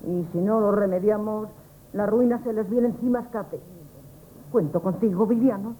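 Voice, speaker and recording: A woman's voice: mid-range voice, normal speed, dark tone, lightly acted, low-quality recording. An actress playing a shrewd older neighbor woman who plots to save a family, in a 1970s radio play. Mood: urgent. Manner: urgent, conspiratorial. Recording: old radio broadcast with faint hiss.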